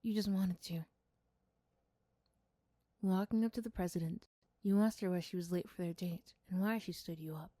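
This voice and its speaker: A soft-spoken woman giving scripted second-person story narration in an ASMR roleplay style. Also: fairly low pitch, moderate pace, pauses often, no room sound, clean studio sound. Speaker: storyteller and voice performer.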